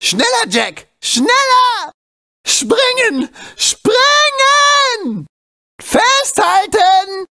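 A man voicing a small, wisecracking, excitable animal sidekick in a video game, with an urgent, cheeky, exclamatory delivery. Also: very high voice, very slow, heavily acted. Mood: alarmed, excited.

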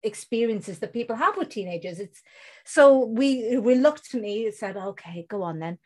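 A woman's voice: a little high, expressive, darkish tone. Earnest British woman telling her story. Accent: British English. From United Kingdom. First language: Bengali. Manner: storytelling, earnest, slows down to stress points. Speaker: guest. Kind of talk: casual interview conversation.

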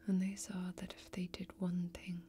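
A young woman playing a grave, weary fantasy warrior in an ASMR role-play, whispering slowly and deliberately in a breathy, brooding way. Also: on the low side, completely flat delivery, warm tone, natural voice. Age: young adult. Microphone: close microphone.

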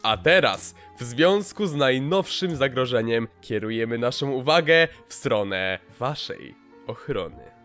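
A man voicing a slick, scheming male media mogul with smooth salesman patter in a fan dub. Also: mid-range voice, lively intonation, measured pace, a bit bright. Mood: presenting. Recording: music under the voice.

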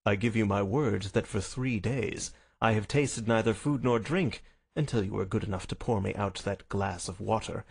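A man's voice: on the low side, normal speed, creaky, slightly rough. A man voicing a brilliant, self-assured detective in a storybook reading, wry and theatrical, with precise, lofty courtesy. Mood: relieved, wry. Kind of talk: dramatised audiobook reading.

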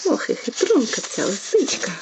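Female children's storyteller: higher-pitched, very animated, gentle sing-song delivery for little listeners. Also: moderate pace, very noisy. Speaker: storyteller reading to a small child. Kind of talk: expressive children's fairy-tale narration.

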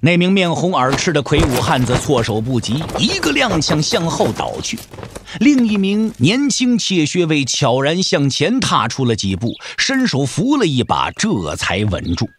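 A man's voice: on the high side, huge pitch swings, moderate pace, a little hiss or hum. A man narrating a martial arts audio drama in a dramatic, emphatic storytelling style.

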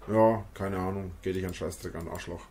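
A man's voice: sits low, steady tone, normal speed, dark tone. A man playing a fat, gluttonous, crude teenage slacker in a parody audio play. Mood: grumpy.

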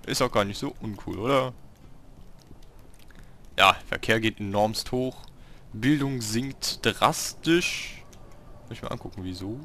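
A man giving casual gameplay commentary, talking to himself in short, halting remarks. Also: lowish voice, sharp tone. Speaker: let's player hosting a city-building game series.

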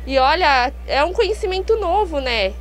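A woman's voice: sits high, lively intonation, moderate pace. A young woman with a southern Brazilian accent answering conversationally as an interview guest, slightly muffled by a face mask. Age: young adult. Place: outdoors in a park at a fair. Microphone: handheld microphone. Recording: clear background noise and hum outdoors.

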